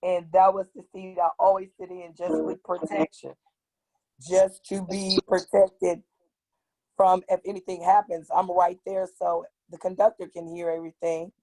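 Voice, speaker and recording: A woman with a raspy, hoarse voice telling a personal account on an online panel, halting and pausing often. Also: on the low side, little pitch movement, dark tone, poor audio. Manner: slow, halting, with long pauses. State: hoarse from tear gas. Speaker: activist guest. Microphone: video call.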